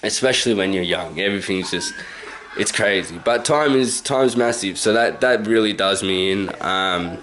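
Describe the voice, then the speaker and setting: Young Australian man, vlogger, slightly low voice, rambling, self-correcting, handheld camcorder at home. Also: moderate pace, a bit bright, low-quality recording. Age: 20s. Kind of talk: casual story-time monologue. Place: his own house, indoors.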